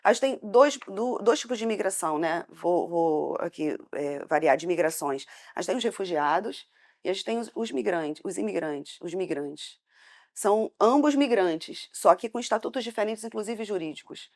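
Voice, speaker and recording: A woman's voice: mid-range voice, animated, moderate pace, a little mellow. A woman with a Brazilian Portuguese accent, speaking as a debate panelist in an impassioned, hesitant way.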